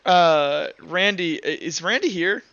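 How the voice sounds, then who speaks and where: High, bright-voiced man running a tabletop game. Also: vocal fry, highs cut off. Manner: informal, halting narration. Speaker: game master. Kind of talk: narrating a tabletop roleplaying game.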